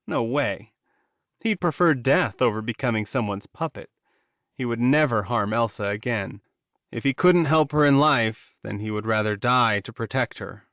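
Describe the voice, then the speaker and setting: A man narrates a fantasy romance audiobook in a medium-pitched voice. The delivery is scripted storytelling, and the recording is dry and close. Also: a bit bright, no echo, highs cut off.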